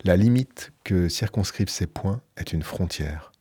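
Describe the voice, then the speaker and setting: Deep dark voiced radio guy reading a book out loud, measured and emphatic, dry close studio sound. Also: husky, dry recording. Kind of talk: reading a book aloud, then a book review.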